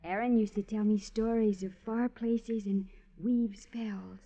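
Coy, beguiled country woman for radio play, warm dark tone, muffled old recording. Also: medium pitch, fairly even intonation, unhurried. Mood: dreamy, enchanted. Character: dreamy, flirtatious lovelorn village woman. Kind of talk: 1950s radio drama acting.

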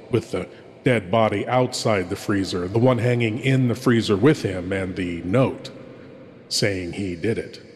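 A man's voice: fairly low pitch, darkish tone. A man hosting a horror show with theatrical scripted commentary, drawing out his words in an ominous, mock-macabre way. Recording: long echoing reverb, noisy ambience.

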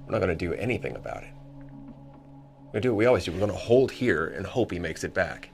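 An actor as a grim male survivor in an audio drama. His voice is deep and gravelly, his delivery blunt, resigned and stubborn. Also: lively intonation, fast, faint music behind. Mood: firm resignation.

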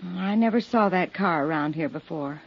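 A woman's voice: lowish voice, normal speed, vocal fry, husky, no echo. An actress playing a shaken, kindly, flustered apartment manager in 1950s radio drama, hesitant in her answers. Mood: flustered, defensive. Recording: dull 1950s radio recording.

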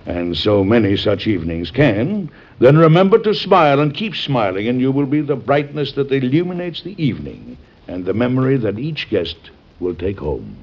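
Gravelly, stern but doting old-radio father. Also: mid-range voice, sing-song, moderate pace. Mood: instructive, tender. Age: middle-aged. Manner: formal, florid, lecturing speech. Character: stern, doting, fretful father of grown daughters. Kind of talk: classic American radio drama acting. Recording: muffled old radio recording.

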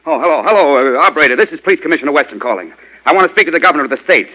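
Blustery, bright-voiced police commissioner. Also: male voice, a little high, expressive, rapid-fire, poor audio. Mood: urgent. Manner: blustery, emphatic. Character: gruff, excitable male police commissioner. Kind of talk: 1940s radio drama acting.

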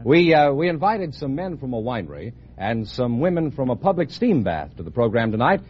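A man's voice: medium pitch, sing-song, fairly quick, slight background noise. An American man working as the announcer on a 1950s radio show, introducing guests to the host. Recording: dull old radio recording.

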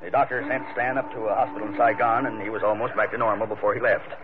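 An actor playing a polite, formal gentleman in old-time radio drama dialogue, courteous and measured. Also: on the low side, little pitch movement, lightly acted. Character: polite, formal man calling on the ship's captain. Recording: tinny vintage radio, clear background noise.

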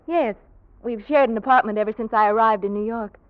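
A woman's voice: a little high, a little fast, lightly acted. Poised young radio-drama woman, acted. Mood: polite, coy. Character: poised, guarded young single city woman. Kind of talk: old-time radio drama acting. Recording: dull, muffled old radio recording.

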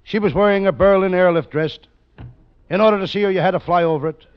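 Guy acting a deadpan wisecracking private eye, old radio comedy, slightly high voice, super noisy muffled tape. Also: quick pace, lightly acted. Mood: deadpan, narrating. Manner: deadpan delivery of puns and one-liners. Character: wisecracking, world-weary male private detective.

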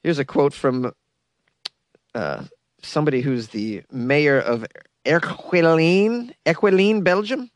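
A man's voice: a little high, expressive, slow pace, dry recording. A man hosting a podcast, giving comedic commentary on a news story in a sarcastic, joking way with casual swearing. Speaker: podcast host.

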